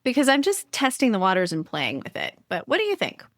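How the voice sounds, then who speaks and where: A woman's voice: a little high, huge pitch swings, a little fast, fairly bright tone, no room sound, clean studio sound. Chatty woman podcast host, rambling questions. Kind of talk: podcast interview conversation.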